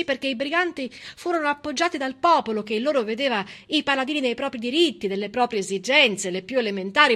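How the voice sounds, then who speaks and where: Female stage storyteller, high and forceful voice, emphatic civic monologue with deliberate pauses and repetition. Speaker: stage actress and narrator.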